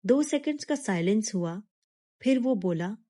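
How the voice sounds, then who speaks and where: A woman narrating a scripted first-person suspense story in a measured, dramatic manner with pauses. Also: mid-range voice, expressive, warm tone.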